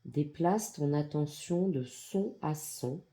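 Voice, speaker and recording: An androgynous voice guides a yoga nidra practice. The tone is extremely mellow and relaxed, and the delivery is slow and calm. Short, soothing instructions come in measured phrases, separated by generous pauses. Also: medium pitch. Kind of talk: guided meditation, soft instructional narration.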